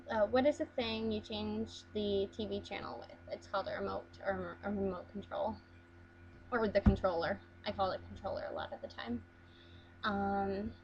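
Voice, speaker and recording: A young woman from Las Vegas talks casually to her camera, hesitant and full of small restarts. Her voice sits at an ordinary pitch. The recording is a bit muffled, with a faint hum underneath. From Las Vegas, USA. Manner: chatty, hesitant, many ums and restarts. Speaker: vlogger. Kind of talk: casual accent challenge video.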